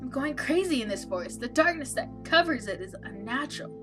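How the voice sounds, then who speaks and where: A woman's voice: high-pitched, lively intonation, fairly quick, over music. A woman hosting a let's play, reading a visual novel aloud and adding wry, opinionated asides.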